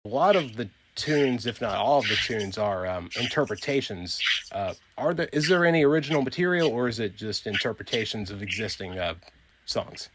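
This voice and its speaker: A man interviewing a musician, friendly and appreciative, asking questions in a relaxed way. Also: fairly low pitch, normal speed, background noise. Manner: friendly, laughs along, brief affirmations. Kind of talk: casual interview.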